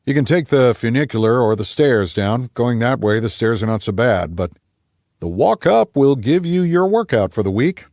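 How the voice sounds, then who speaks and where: A big gate guard voiced by a male narrator, polite and procedural. His pitch is lifted above the narration, and he sounds helpful and businesslike in this audiobook scene. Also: lively intonation, moderate pace, a little mellow, no echo, clean studio sound, lightly acted.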